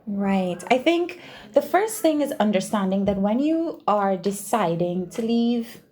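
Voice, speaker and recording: A woman's voice: medium pitch, lively intonation, a little slow, darkish tone. A woman from Trinidad and Tobago with a Trinidadian accent, answering interview questions conversationally and repeating phrases for emphasis. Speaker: podcast guest, doctoral researcher and university teacher.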